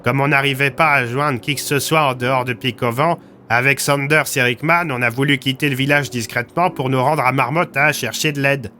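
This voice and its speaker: Man acting a blunt, bright-voiced village police commissioner. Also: mid-range voice, fairly even intonation, a little fast, few pauses, a little hiss or hum, pitched above the performer's own voice, lightly acted. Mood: grim recounting. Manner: gruff slang, blunt storytelling. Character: rattled, blunt male small-town police commissioner, survivor. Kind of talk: audio drama storytelling.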